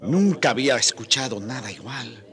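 An actor playing a pensive, troubled Spanish priest in a radio drama, speaking gravely and hesitantly. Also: male voice, mid-range voice, animated, moderate pace, fairly bright tone, natural voice. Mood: troubled, reflective.

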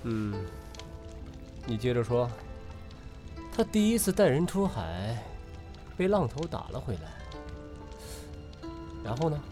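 A man acts out a roguish period traveller in an audio drama, witty and teasing. His delivery is unhurried and theatrical, with wide swings of pitch. Prominent music plays behind him. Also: sing-song. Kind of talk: Chinese audio drama acting, period dialogue.

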